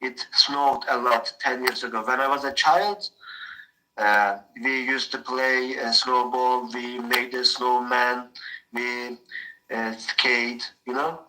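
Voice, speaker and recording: A young Turkish man speaks English with a strong Turkish accent and a very bright, sharp tone. He talks in a halting way, filling gaps with "uh" and restarting phrases as he hunts for the right word. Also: medium pitch, level tone, slight background noise, low-quality recording. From Turkey. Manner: halting, searching for words, frequent uh. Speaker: mechanical engineer practicing English. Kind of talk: casual conversation practice.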